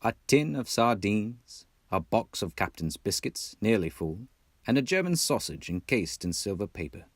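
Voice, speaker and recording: A man's voice: fairly low pitch, big pitch swings, normal speed, no room sound. A man from Texas reading a classic storybook expressively as narrator, in a put-on British accent. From Texas, United States. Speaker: amateur audiobook reader.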